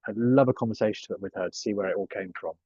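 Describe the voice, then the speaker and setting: A man's voice: on the low side, racing, warm tone, breathy edge, no room sound, highs cut off. Chatty, swearing coaching man. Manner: chatty, swears freely, jokey asides. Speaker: personal branding coach. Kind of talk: casual group coaching talk.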